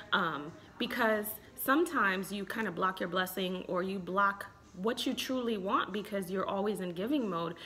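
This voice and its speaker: A woman in her thirties with an American accent, talking in a casual, expressive way as a relationship expert giving a review. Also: medium pitch. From Chicago, United States. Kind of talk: casual vlog commentary. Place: small room indoors at home.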